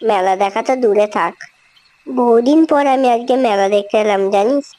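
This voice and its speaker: Woman voicing a high, mellow, chatty young sibling. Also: normal speed, close to an everyday voice. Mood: cheerful. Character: chatty, worried younger sibling lost after a village fair. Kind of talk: amateur animated horror story dialogue. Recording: music behind.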